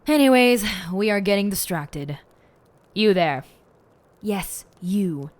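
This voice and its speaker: A woman playing a smug, theatrical, teasingly bossy lead detective in an audio roleplay, with pompous and deliberate phrasing. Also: medium pitch, lively intonation, a little mellow.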